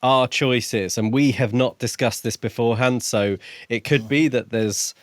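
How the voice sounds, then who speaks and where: A man co-hosting a podcast, discussing books casually in a measured, orderly way. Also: mid-range voice, no room sound, clean studio sound. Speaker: podcast co-host.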